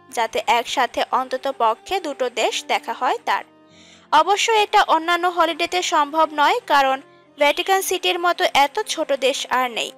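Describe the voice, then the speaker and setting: A female narrator tells a romantic story from a script. Her voice sits high for a woman. Music plays underneath the narration. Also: fairly bright tone. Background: background music.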